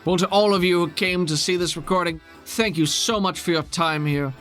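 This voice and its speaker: A man's voice: high voice, normal speed, a bit bright, faint music behind, voice pushed up. A man voicing an effusive, theatrical TV interview host who gushes genteelly and calls everyone dear. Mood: rousing, showy.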